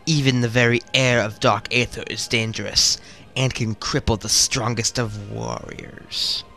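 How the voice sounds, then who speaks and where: A man voicing a solemn dead alien temple sentinel with a put-on British accent, reading in a grave, measured, formal way. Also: mid-range voice, fairly even intonation, gravelly, bright, forceful tone, soft background music.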